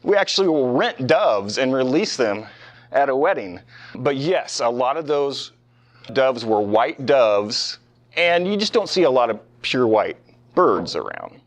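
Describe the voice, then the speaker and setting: A man's voice: medium pitch, sing-song, measured pace. A man lecturing on history as a professor, talking conversationally with rhetorical questions and casual asides to his listeners. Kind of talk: informal classroom history lecture with questions to the students. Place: live talk in front of a small audience.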